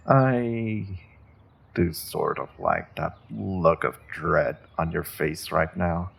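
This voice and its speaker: A man playing a menacing, courteous evil sorcerer warlord in a fantasy audio roleplay, gloating in a mock-polite, deliberate way with a gravelly, husky voice. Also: sits low, a bit bright, exaggerated voice. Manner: slow, deliberate, mock-polite, savoring threats with drawn-out pauses. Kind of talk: fantasy audio roleplay, speaking directly to a captive listener.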